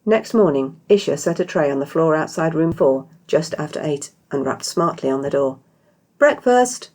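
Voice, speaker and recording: A low-voiced British woman reads a story as its author. Her delivery is scripted narration, with a gravelly creak running through the deep register. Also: normal speed, darkish tone. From Britain. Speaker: author reading own story.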